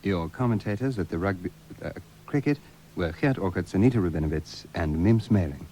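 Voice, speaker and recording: A man playing a self-assured official, deadpan and formal, in a spoof radio broadcast. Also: low-pitched, brisk. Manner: deadpan, formal, matter-of-fact. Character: self-assured male official talking solemn technical gibberish.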